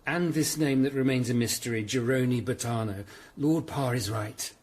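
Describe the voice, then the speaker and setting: A man's voice: mid-range voice, moderate pace, darkish tone, natural voice. A man acting in a radio drama as an earnest, courteous, probing Tudor lawyer-investigator. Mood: earnest, deliberate.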